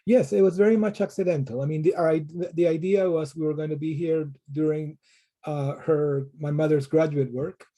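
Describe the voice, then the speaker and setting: Dark-toned man, reflective and halting. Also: on the high side, fairly even intonation. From Peru. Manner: thoughtful, frequent word repetitions and restarts. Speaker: professor being interviewed. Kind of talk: conversational interview answers.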